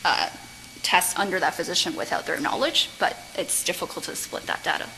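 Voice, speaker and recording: A woman's voice: on the low side, a little fast, creaky, pushed and bright, rough recording. A woman speaking off the cuff as she answers questions at a medical conference, with careful, hesitant phrasing. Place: conference room. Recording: faint background noise.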